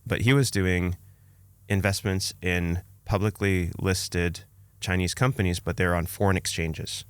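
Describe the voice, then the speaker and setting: A man's voice: low voice, fairly even intonation, a little slow, clean tone, dry recording. A man, telling his own story conversationally as a podcast guest. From Canada, born in Romania.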